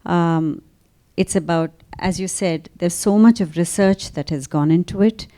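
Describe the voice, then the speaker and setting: A woman interviewing an author, asking long questions with many pauses and fillers. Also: on the low side, mellow. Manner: hesitant, searching questions with fillers. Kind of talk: conversational interview.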